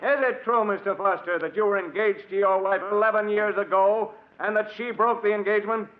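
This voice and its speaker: A stern courtroom prosecutor played by a man in a 1940s radio play, formal and deliberate, with a high voice full of accusation. The recording is thin and tinny. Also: fairly even intonation, close to an everyday voice. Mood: accusing, sceptical.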